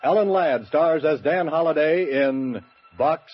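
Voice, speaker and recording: A male radio announcer giving a formal, measured announcement. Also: fairly high pitch, slightly rough. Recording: muffled 1940s radio with music.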